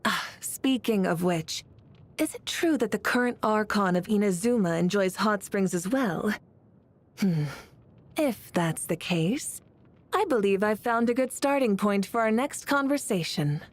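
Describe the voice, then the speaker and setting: A woman playing a calm, confident young warrior leader in a video game, speaking in a measured, thoughtful and dignified way. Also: mid-range voice. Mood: diplomatic, thoughtful. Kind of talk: scripted game character dialogue. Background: music.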